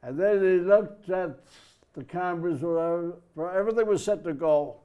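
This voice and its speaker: An older man with an Irish accent tells his stories as a studio guest. His voice sits a bit high for a man, and he acts out the people he quotes with plenty of life. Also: moderate pace, clear, resonant tone, dull audio. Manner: lively storyteller who acts out quoted voices. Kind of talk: anecdotal storytelling.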